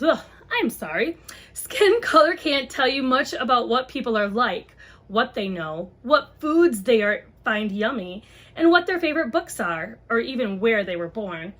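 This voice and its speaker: Woman w a slightly high voice doing a kids book read aloud, casual asides to parents, explains stuff simply. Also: a bit bright. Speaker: host reading a children's book aloud to parents.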